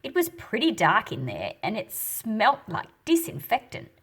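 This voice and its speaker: Woman, storybook read-aloud with commentary, animated intonation, slow deliberate phrasing, slightly husky. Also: fairly high pitch. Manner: expressive, explanatory, deliberate phrasing. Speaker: picture book read-aloud host.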